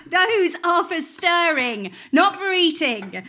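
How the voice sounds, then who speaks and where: A doting working-class mother with a high, forceful voice. She fusses fondly and scolds with a sharp tongue, in radio-play style. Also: very animated, takes it slowly, exaggerated voice. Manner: affectionate, scolding. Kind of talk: stage radio-play acting.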